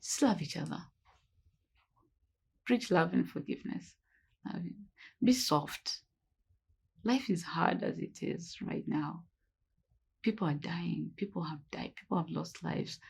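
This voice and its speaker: A woman's voice: on the low side, unhurried, vocal fry, pauses often, a little mellow, husky. A woman in her thirties, speaking earnestly in a documentary interview. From Kenya. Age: 30s. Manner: earnest, urging.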